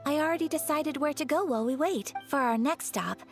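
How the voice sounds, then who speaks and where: A high, refined young lady of noble birth speaks over faint music. She is gentle and courteous, choosing formal, measured words as in an anime game. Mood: courteous, composed. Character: refined, gracious young Japanese-styled noblewoman, gentle and polite. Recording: soft music behind the voice.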